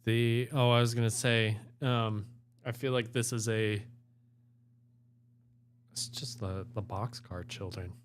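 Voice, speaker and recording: A man's voice: mid-range voice, steady tone, takes it slowly, pauses often, clean tone. A man reading fairy tales aloud as an amateur co-host, moving between storybook narration and casual chat.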